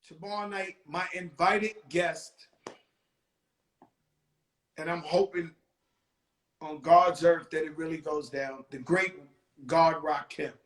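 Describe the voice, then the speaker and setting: A man's voice: a little high, steady tone, slow, pauses often, a bit bright, roomy sound, poor audio. A man with a New York accent hosting a live stream, talking casually in street slang with repeated exclamations.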